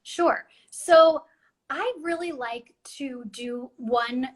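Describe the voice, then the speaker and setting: A woman's voice: high voice, drawn-out words, poor audio. A young adult woman chatting in an interview about voice acting, talking freely with many ums and restarts. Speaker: voice actress guest. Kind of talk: casual interview chat.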